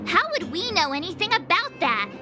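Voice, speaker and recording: Game girl adventurer: curious and outspoken, tiny high voice with animated swings. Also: normal speed. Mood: puzzled. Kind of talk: scripted video game voice acting. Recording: loud music behind the voice. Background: game music.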